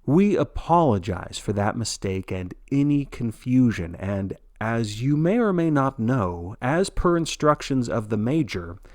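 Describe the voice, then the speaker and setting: Man playing a folksy, animated sports broadcaster. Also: medium pitch, a little slow, few pauses. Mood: earnest, placating. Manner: folksy, showy, blithely cheerful about grim events. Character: jovial male sports broadcaster of a dystopian death march. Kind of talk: play-by-play sports commentary.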